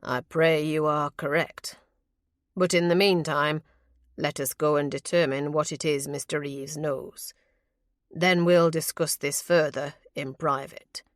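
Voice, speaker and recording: A stern, protective lord from a historical audiobook, played by a woman. The voice is lowish and deliberate, formal and commanding, with a dry sound. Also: steady tone, no echo. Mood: grave, insistent. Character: commanding, troubled male aristocrat, protective and intense. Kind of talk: audiobook character voice.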